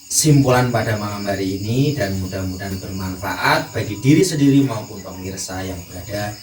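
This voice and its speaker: A man's voice: fairly low pitch, moderate pace. A man with a Javanese accent hosting and moderating an informal discussion. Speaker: host, moderator. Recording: distant echoey recording, faint insect noise.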